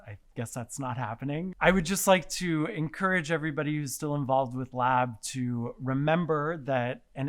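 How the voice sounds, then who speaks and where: Sincere man thanking warmly, mid voice. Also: medium pitch. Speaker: award recipient. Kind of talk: recorded acceptance speech.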